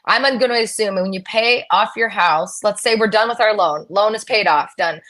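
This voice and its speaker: Female live-stream host, mid-pitched voice, conversational, playful, plugging things as she chats. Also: moderate pace, a bit bright. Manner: chatty, playful, promotional. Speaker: host of a live real estate Q&A. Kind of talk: casual live-stream hosting and chat.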